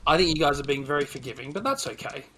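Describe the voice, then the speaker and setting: A man with a slightly high voice takes part in a casual film debate. He sounds sceptical as he trades views with the other panelists. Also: lively intonation, fast talker, bright, forceful tone, slightly rough. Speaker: podcast panelist.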